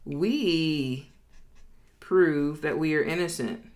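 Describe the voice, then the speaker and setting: A woman with a slightly low voice teaches informally, like a business educator at a board. She is conversational and emphatic, tossing in little check-in tags and voicing imagined quotes as she explains. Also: takes it slowly, darkish tone. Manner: conversational, emphatic, frequently checks in with "okay?" and "right?".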